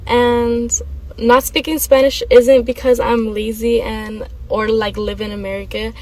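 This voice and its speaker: Young woman, student testimony, hesitant with ums, a touch high-pitched. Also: level tone, unhurried, darkish tone, rough recording. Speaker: student interviewee. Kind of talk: personal testimony.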